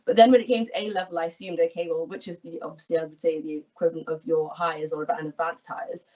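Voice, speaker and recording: Young woman from England, career guest, slightly low voice, dull distant webcam sound. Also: steady tone, quick pace, mellow. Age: 20s. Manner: friendly, explanatory, gestures while talking. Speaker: equity research analyst guest. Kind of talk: online careers talk. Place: small room at home, indoors. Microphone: laptop webcam video call. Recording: distant, dull video call audio.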